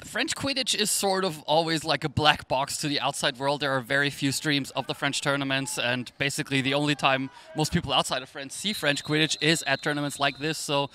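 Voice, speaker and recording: A man's voice: on the high side, a little fast, hardly any pauses, pushed and bright. An Austrian-accented man, a native German speaker, giving chatty, informative live sports commentary in English. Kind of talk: live quadball match commentary, conversational.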